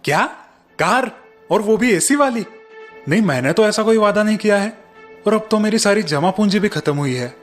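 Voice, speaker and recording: A man's voice: high voice, lively intonation, normal speed, faint music behind. A man playing an anxious, earnest middle-aged father in a cartoon story. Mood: startled. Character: anxious, drained older father in a family cartoon. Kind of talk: Hindi cartoon story acting.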